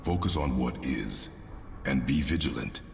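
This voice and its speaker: An actor playing a stern, guarded warrior father in a video game, gravelly and terse, giving measured commands. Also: exaggerated voice. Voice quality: gravelly, very deep. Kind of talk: cinematic video game acting. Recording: echoey cavernous reverb under game music.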